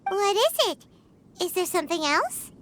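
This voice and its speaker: A woman's voice: big pitch swings, normal speed, a bit bright, slight background noise, full character voice. A woman voicing a small, chirpy, childlike fairy companion in a video game, curious and cheeky. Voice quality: squeaky, childlike. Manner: refers to self in third person, exclamatory. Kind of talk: cartoonish video game voice acting. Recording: music playing behind the voice.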